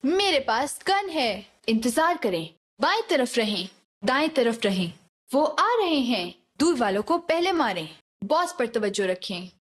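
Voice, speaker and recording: A woman's voice: high-pitched, exaggerated voice. A woman voicing a female soldier squadmate in a shooter game, giving short, clipped tactical commands. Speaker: game voice pack announcer.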